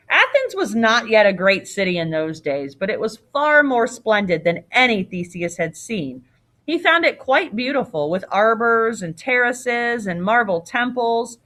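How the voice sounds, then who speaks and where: A woman's voice: mid-range voice, fairly bright tone. A woman reading a story aloud as a teacher, expressively, with casual explanatory asides.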